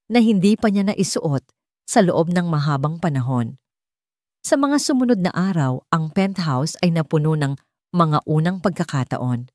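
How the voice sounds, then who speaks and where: A woman's voice: sits low, a little mellow, dry recording, clean studio sound. A woman with a Filipino accent reading a scripted, dramatic audio story as its narrator.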